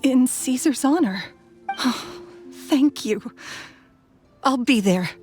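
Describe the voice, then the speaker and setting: An actress playing a gentle, grieving, regretful woman in a video game. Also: high voice, lively intonation, slow, faint music behind. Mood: touched.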